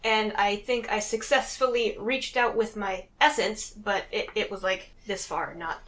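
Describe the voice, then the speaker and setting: A woman's voice: mid-range voice, steady tone, a little slow, fairly bright tone. A woman chatting casually as a player in a tabletop role-playing game.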